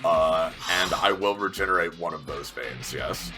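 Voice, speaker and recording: A man's voice: sits low, moderate pace. A man playing a tabletop game, chatting casually through his moves at the table. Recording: music bed behind the voice.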